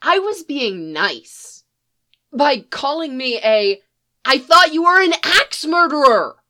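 A woman's voice: sits high, very animated, takes it slowly, bright, forceful tone, pitched above the performer's own voice, full character voice. A woman voicing a mouthy, combative eleven-year-old boy who talks back sharply in a storybook reading. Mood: argumentative. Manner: combative, sassy back talk.

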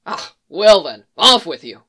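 A woman's voice: mid-range voice, animated, measured pace, fairly bright tone. A woman voicing a bearded, folksy horse seller pushing his stock. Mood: salesy. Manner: salesy, folksy, hesitant. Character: bearded middle-aged male stable seller, folksy.

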